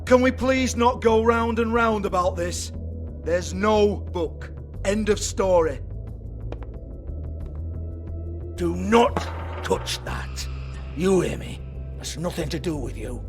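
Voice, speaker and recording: Shifty British club boss for a game: high-pitched, sneering, foul-mouthed, taunting. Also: male voice, lively intonation, takes it slowly, soft background music. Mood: sarcastic. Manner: sarcastic, sweary, taunting. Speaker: game character, shady club owner. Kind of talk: video game voice acting.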